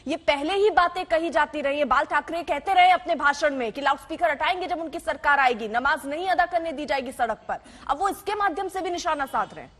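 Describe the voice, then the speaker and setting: A woman's voice: high voice, brisk, few pauses, fairly bright tone, big-room sound. A woman anchoring live television news, speaking emphatically and restating her points at length. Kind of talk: live Hindi news presenting.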